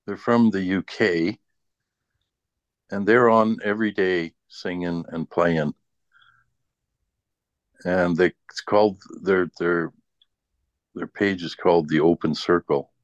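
A man with a low voice talks casually and at a slow pace. The sound is dry, with no room around it. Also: steady tone, no room sound, lo-fi sound. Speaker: discussion participant. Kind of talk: casual chat.

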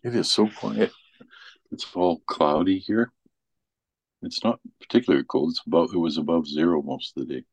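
A middle-aged man with a strikingly low voice talks in a loose, folksy way. He rambles casually as a presenter in informal chat. The sound is dry, with little room to it. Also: moderate pace, a little mellow, slightly rough, no room sound, lo-fi sound. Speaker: radio show presenter.

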